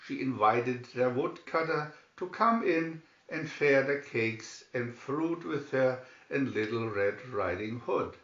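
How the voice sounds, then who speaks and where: A man's voice: medium pitch, lively intonation, slow pace, few pauses, fairly bright tone. A man narrating a scripted fairy tale expressively as a storybook reader. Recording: roomy, slightly dull recording.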